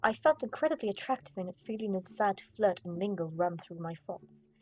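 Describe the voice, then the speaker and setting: Low-voiced young woman reading a visual novel. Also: lively intonation, a little fast, darkish tone, husky. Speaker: gaming YouTuber. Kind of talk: dramatic read-aloud of visual novel narration. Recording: dull audio, music behind. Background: game music.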